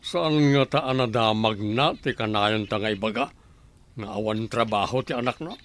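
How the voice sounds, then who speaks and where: A man playing a young husband working abroad in a radio drama, talking in a lively, teasing way. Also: on the low side, moderate pace, no echo, lightly acted. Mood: exasperated. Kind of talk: amateur radio drama acting.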